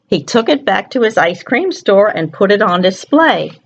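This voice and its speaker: A woman's voice: medium pitch, very animated, moderate pace, gravelly, a little hiss or hum. A woman storyteller reads a picture book aloud to young children, expressively, often putting questions to her listeners.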